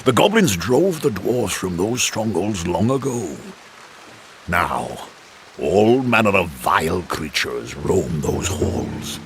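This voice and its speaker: A man voicing a gruff, gravelly, grumbling dwarf leader in a fantasy video game. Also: low-pitched, very animated, slow, a little hiss or hum, exaggerated voice. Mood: grim. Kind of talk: video game cutscene acting.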